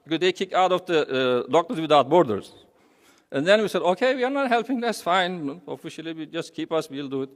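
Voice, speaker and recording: A man from Turkey with a Turkish accent gives an informal speech as a charity representative, halting and rambling, often repeating phrases. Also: on the high side, expressive. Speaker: humanitarian charity representative speaking. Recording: echoey hall with long reverb.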